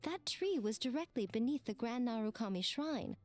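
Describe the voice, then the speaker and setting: A woman's voice: fairly high pitch, expressive, moderate pace, faint music behind, natural voice. A woman playing a sly, elegant, teasing shrine lady in an anime game, playful and haughty with mock affection. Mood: wry, airy.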